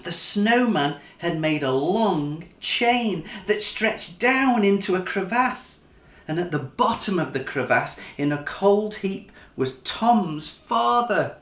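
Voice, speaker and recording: A man reads a children's story aloud as its author. He takes his time and swings his pitch widely for expression. The sound is roomy, with noticeable echo. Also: animated, slow pace, fairly bright tone. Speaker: author reading own book.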